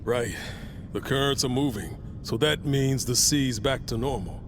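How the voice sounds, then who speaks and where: An actor playing an earnest, level-headed adventurer in a video game, plain-spoken. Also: male voice, measured pace, close to an everyday voice. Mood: calm. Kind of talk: dramatic video game acting. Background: rumbling noise.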